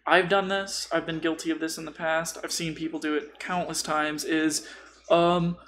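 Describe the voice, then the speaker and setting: A man's voice: fairly high pitch, level tone, normal speed, fairly bright tone. A man chatting casually as a podcast co-host and dungeon master, blunt and given to swearing. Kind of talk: casual chat about tabletop role-playing. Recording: faint background noise.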